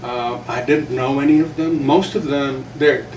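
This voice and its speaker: An older man from South Texas whose first language is Spanish, telling stories from his career in an unhurried, reflective way. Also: medium pitch, fairly even intonation, darkish tone. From South Texas, USA. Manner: unhurried, reflective, with small chuckles. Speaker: guest, journalist and playwright. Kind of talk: relaxed storytelling interview. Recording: roomy, distant mic with faint background noise.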